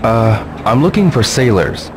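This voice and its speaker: An actor playing an earnest, polite teenage martial artist in a video game, with a stiff, reserved manner. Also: male voice, mid-range voice, very animated, a little slow, noisy background. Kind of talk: English video game voice acting.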